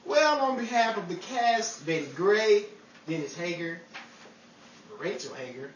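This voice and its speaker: Roomy, slow-paced man hosting a radio-style show. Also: sits high, lively intonation. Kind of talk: 1940s radio-style sign-off. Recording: roomy, distant mic, faint noise.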